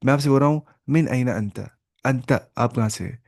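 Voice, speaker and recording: A man teaching an online language class over a video call. He explains patiently, repeats points, and slowly sounds out each example phrase. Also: mid-range voice, darkish tone, dry recording, clean studio sound. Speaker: Arabic teacher.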